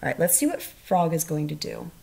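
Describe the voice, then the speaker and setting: A woman teacher reading a storybook aloud to children, expressive and instructional, asking questions as she goes. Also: deep voice, brisk, creaky. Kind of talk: storybook read-aloud with questions to young listeners.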